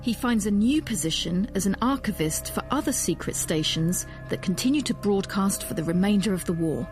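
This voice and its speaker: A woman presenting a documentary as a historian, in measured, articulate scripted narration. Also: medium pitch, expressive, music in the background.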